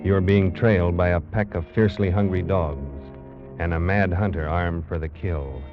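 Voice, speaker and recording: A man's voice: deep voice, steady tone, moderate pace. A man announcing a 1940s radio thriller with ominous, theatrical narration. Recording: dull old 1940s radio recording, music.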